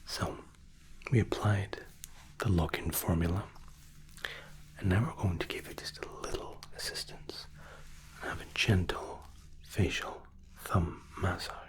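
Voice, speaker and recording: Whispering man, very slow and low. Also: steady tone, low-quality recording. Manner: gentle, soothing, unhurried, softly reassuring. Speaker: ASMR performer playing a spa therapist. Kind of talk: whispered ASMR spa roleplay.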